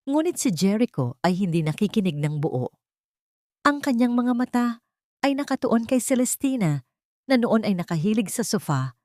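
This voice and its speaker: A woman's voice: mid-range voice, very animated, moderate pace, warm tone, no room sound, clean studio sound. A woman narrating a scripted dramatic story as its storyteller.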